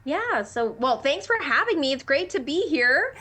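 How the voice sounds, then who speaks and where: A young woman from Canada, a native Mandarin speaker, chatting as an interview guest in an animated way with many fillers. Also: high-pitched, normal speed. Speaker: guest, actress and comedian. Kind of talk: casual interview chat.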